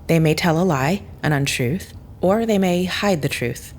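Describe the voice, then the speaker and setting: A woman playing a controlled, analytical character in an audio drama, with measured, formal and exact phrasing. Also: sits low, a little mellow, a little hiss or hum. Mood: serious, probing.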